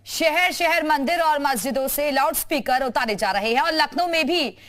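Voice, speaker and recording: A woman's voice: high-pitched, normal speed, fairly bright tone. A woman presenting live television news as an anchor, emphatic and repeating her headlines.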